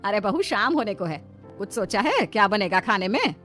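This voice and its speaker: A woman's voice: mid-range voice, very animated, moderate pace, fairly bright tone, soft background music. Commanding, fussy mother-in-law for cartoon tale, female performer, middle register. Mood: coaxing. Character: bossy, complaining older mother-in-law.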